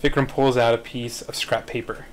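Mid-pitched man narrating screenplay directions. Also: level tone, normal speed, slight background noise. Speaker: narrator reading stage directions. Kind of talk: scripted narration at a live table read.

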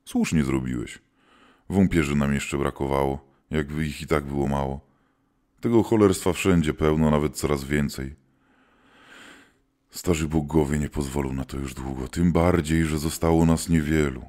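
A man playing a world-weary, gruff hunter of demons who keeps the old gods, in a theatrical scripted audio drama. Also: rumbling low, fairly even intonation, moderate pace, darkish tone. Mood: grave, weary.

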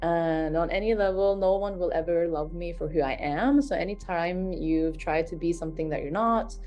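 A woman with a low, mellow voice leads a guided healing session, calm and unhurried. She repeats soothing phrases and murmurs soft little affirmations between them. Gentle music plays faintly behind her.

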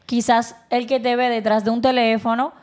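A woman's voice: on the high side, level tone, normal speed, crowd noise. A woman co-hosting a studio interview, asking probing, playful questions. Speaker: co-host. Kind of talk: casual interview talk. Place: podcast studio.

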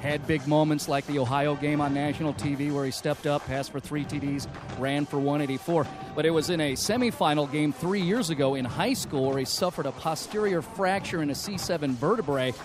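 A male sports sideline reporter with a slightly raised voice, delivering quick game updates and interviews in a brisk, conversational way. Music runs softly under his reports from the stadium. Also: on the high side. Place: football stadium sidelines, outdoors. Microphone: handheld microphone with headset.

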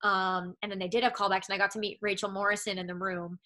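Bright-voiced young woman, Boston accent. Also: mid-range voice, level tone, fast talker. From Massachusetts. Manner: rambling, heavy use of "like" and "um". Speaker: actress guest. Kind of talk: casual interview chat. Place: home.

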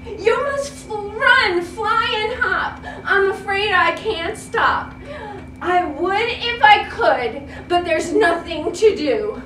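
Storybook elephant, acted by a woman: big, apologetic, skittish, very high swooping voice, roomy sound. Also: animated, takes it slowly, fairly bright tone, full character voice. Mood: sad, apologetic. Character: big apologetic, easily frightened, giggly elephant. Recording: roomy, noisy classroom, distant mic.

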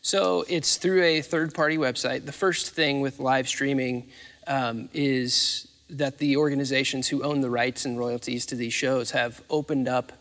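A man in his thirties speaks as an interview guest, explaining in an informal way. His voice has an ordinary male pitch and carries the long reverb of a big theatre. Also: steady tone, unhurried, a bit bright. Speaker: interviewee, theatre facilities manager. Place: large dark theatre stage, indoors. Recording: echoey hall reverb.

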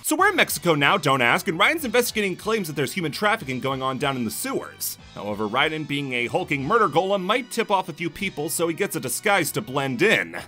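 Bright, lively jokey male game reviewer. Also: a little high, fast talker, few pauses, faint music behind. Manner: sarcastic, jokey asides. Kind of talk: scripted comedic game review narration.

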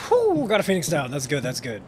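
Male gamer commentator; lively, cursing, mock-flirty, ribs the characters. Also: on the high side, a little fast, a little hiss or hum. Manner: joking, profane, talks back to the characters. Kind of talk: let's play commentary. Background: game music.

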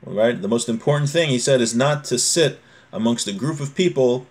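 A man's voice: medium pitch, moderate pace. A man in his forties teaching an informal religious lesson, reading aloud and explaining, often repeating and rephrasing. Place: small home room, indoors.

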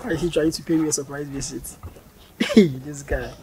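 A man's voice: a little high, steady tone, a little fast, noisy background, lightly acted. An actor playing an easygoing young man who talks casually with a friend. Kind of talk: scripted skit acting.

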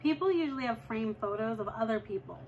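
A young woman reading a children's novel aloud at home, with lively jumps in pitch when she reads dialogue. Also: fast, dull audio, a little hiss or hum. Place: living room at home, indoors.

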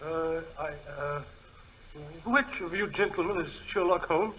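An actor playing a nervous, excitable British gentleman archaeologist who stammers and fusses, in 1940s radio style. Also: fairly high pitch, unhurried. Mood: agitated. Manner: stammering, fussy, repeating himself. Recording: dull old radio broadcast recording.

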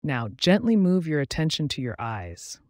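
A man guiding a body scan meditation, reading a script in a slow, soothing, even way with pauses. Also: a little high, dry recording, studio quality. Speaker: meditation guide.

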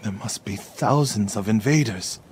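A man playing a weary, grieving warrior monk in a game drama, speaking in a halting, somber and respectful way. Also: on the low side, a little mellow, slight background noise, lightly acted. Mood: grieving, haunted. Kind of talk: cinematic game drama acting.

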